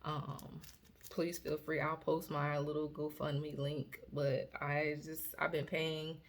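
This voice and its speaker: A woman vlogger chatting casually to her viewers, rambling with fillers and restarts, her voice a little hoarse. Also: low-pitched, level tone, takes it slowly. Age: adult student. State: slightly hoarse. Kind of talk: casual personal vlog update.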